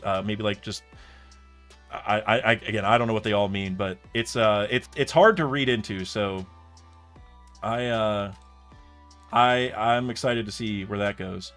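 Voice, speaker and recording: A young man with a lowish voice talks loosely to his stream chat, circling his ideas with many fillers and restarts. Faint music plays under his voice. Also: steady tone, moderate pace, fairly bright tone. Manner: rambling, thinks aloud with many restarts and fillers. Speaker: streamer reacting to a comic chapter. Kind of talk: casual live stream chat.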